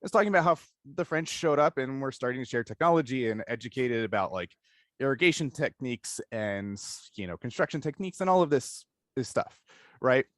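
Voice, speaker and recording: A man hosts an informal discussion interview in a mid-pitched voice. He talks conversationally, rambling and halting as he works out his thoughts. The sound is close and dry, with very little room in it. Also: expressive, fairly bright tone, no echo. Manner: halting, thinking aloud, rambling. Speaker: interview host.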